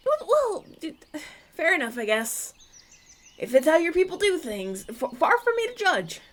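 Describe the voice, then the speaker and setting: Man acting a flustered, animated young runaway prince. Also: very high voice, measured pace, full character voice. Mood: polite, hesitant. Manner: stammering, hesitant, polite, animated. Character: polite, flustered young runaway human prince, injured and anxious. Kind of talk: ASMR audio roleplay, acted one-sided dialogue.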